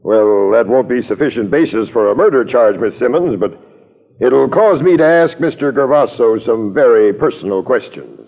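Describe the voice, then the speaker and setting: An actor playing a gruff but polite homicide police captain in 1940s radio drama style, brisk and businesslike. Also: male voice, mid-range voice, lively intonation. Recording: muffled, reverberant old radio recording.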